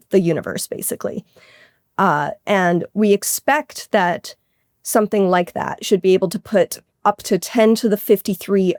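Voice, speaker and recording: A woman's voice: lowish voice, a little mellow, dry recording, studio quality. A woman astronomer being interviewed, explaining technical science in a casual, conversational way peppered with "like".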